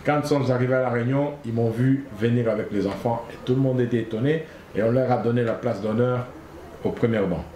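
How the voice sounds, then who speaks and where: A man from Congo, a guest founder of an association, telling a personal story in an interview in an earnest, halting way, repeating his phrases. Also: medium pitch, warm tone. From Congo (DRC). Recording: echoey room, faint noise.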